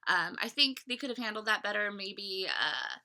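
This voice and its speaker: Bright-voiced stuffy young woman vlogging. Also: mid-range voice, animated, normal speed. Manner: chatty, rambling with many fillers and restarts. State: stuffy, voice a little off from a cold. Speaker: vlogger reviewing a fan convention. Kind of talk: casual talk to camera. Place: small bedroom, indoors.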